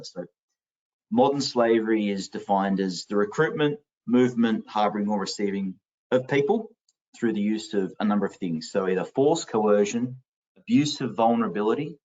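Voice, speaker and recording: A middle-aged man with an Australian accent, answering interview questions in a webinar, laying out facts and definitions steadily over a video call headset. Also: on the low side. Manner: fact-heavy, explanatory, steady. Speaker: interviewee, sustainability executive. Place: home office, video call. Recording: lo-fi distant video call audio.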